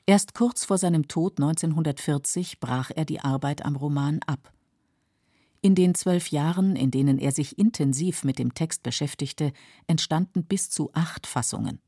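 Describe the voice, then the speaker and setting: A female documentary narrator with a deep, mellow, husky voice. She reads her script in a measured and sober way for radio, in a dry studio. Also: no room sound.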